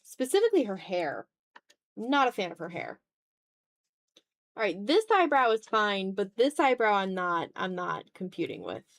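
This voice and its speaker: A woman's voice: medium pitch, sing-song, normal speed, vocal fry, dry recording. A woman chatting casually while she draws on a livestream, rambling in a self-deprecating way. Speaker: art livestreamer. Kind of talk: casual chat while drawing on stream.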